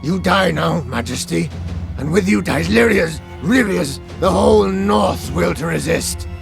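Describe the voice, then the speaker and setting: A man playing a traitorous army engineer in a fantasy game, a menacing fanatic with a creaky voice. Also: sits high, expressive, measured pace, music in the background, heavily acted. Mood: gloating menace. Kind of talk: fantasy game villain acting.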